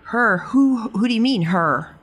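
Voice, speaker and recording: A woman playing an anxious niece who speaks haltingly in a tabletop game. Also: a little high, expressive, fairly bright tone, husky, soft background music, exaggerated voice, poor audio. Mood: questioning. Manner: halting, repeats words when flustered. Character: anxious young niece, worried for her mother.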